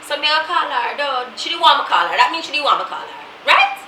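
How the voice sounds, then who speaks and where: A woman's voice: high voice, lively intonation, quick pace, sharp tone. A woman from Jamaica with a Jamaican accent, chatting casually to her viewers as a vlog host and slipping into patois. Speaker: vlogger host. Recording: roomy, distant, noisy.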